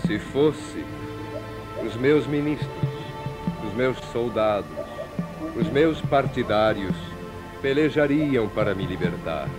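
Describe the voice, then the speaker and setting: Calm holy prisoner, very slow, solemn, dignified, biblical drama, music underneath. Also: male voice, mid-range voice. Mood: serene, solemn. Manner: solemn, measured. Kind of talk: biblical audio drama acting.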